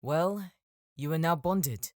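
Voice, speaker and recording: A man's voice: fairly high pitch, slow, darkish tone, dry recording, studio quality. A man playing a showy young male game mage with a theatrical, announcing manner in an audiobook. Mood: matter-of-fact.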